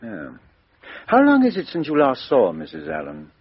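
An actor playing a methodical middle-aged police inspector, asking businesslike questions in a 1950s radio drama. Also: male voice, mid-range voice, wildly swooping pitch, moderate pace, husky, dry recording. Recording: dull 1950s radio recording.

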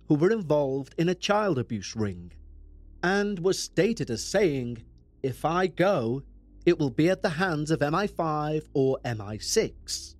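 A man with a Liverpool accent hosting a podcast, reading true-crime narration in a measured, dramatic way. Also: on the high side, darkish tone, no room sound. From England. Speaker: podcast host.

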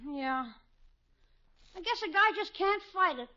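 A woman's voice: very high voice, expressive, a little slow, bright, forceful tone, heavily acted. A woman playing a sulky schoolboy who longs to grow up, in a 1950s radio comedy. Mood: reluctant, downcast. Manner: plain slangy schoolboy talk, grumbling. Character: sulky, wounded schoolboy nephew longing to grow up. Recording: dull 1950s radio recording.

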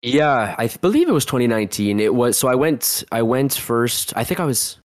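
A man's voice: medium pitch, sing-song, fairly quick, dry recording, clean studio sound. A man in his twenties, a guest telling a personal story in a casual interview, rambling with lots of restarts. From Canada. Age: 20s. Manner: rambling, heavy use of "like", frequent restarts.

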